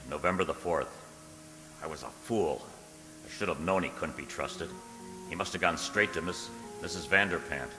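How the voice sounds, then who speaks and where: A man acting an anxious, lovelorn man in a radio drama, earnest and pleading, with hesitant, stammering speech. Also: a bass voice. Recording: long hall reverb, music underneath.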